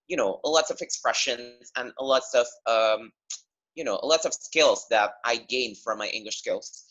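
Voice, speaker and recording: A man's voice: medium pitch, sharp tone. A young man in his twenties with an Indonesian accent gives an earnest webinar presentation, often restarting his sentences and saying "you know". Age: 20s. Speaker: webinar speaker. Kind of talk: presentation over a video call. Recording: dull lo-fi call audio.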